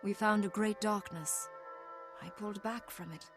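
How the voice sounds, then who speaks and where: A woman performs a grave, firstborn plant-folk leader in a fantasy game. The voice sits at a medium pitch, measured and earnest. Dramatic music runs loud behind every line. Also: steady tone, close to an everyday voice. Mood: solemn, earnest. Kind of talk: dramatic video game cutscene acting.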